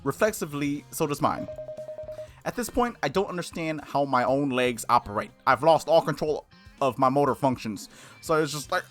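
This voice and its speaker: Expressive male streamer, very varied pitch. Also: normal speed, soft background music. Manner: expressive read-aloud, slangy asides. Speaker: game streamer, let's-play host. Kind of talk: reading a visual novel aloud with casual reactions.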